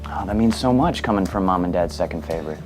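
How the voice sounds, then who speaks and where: Deep, wry teasing brother played by a man. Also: expressive, quick pace, over music. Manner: dry sarcastic teasing. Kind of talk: scripted radio ad dialogue.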